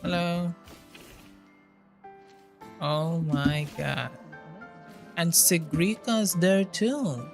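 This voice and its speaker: A man's voice: on the high side, extremely slow, creaky, pauses often. A man doing casual live gameplay commentary, muttering to himself and swearing freely. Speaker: game streamer. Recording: game music behind the voice.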